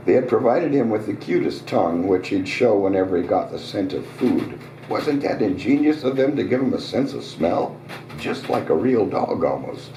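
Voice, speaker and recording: A man hosting a live reading, hoarse, telling his own short story in a relaxed, conversational way with small asides. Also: low voice, a little hiss or hum. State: hoarse, voice getting raspy. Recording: roomy, distant restaurant recording.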